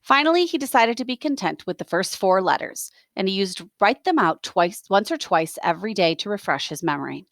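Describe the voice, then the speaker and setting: A woman reading a story aloud as a teacher, expressive, with explanatory asides. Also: mid-range voice, fairly quick, vocal fry, few pauses, no room sound, studio quality.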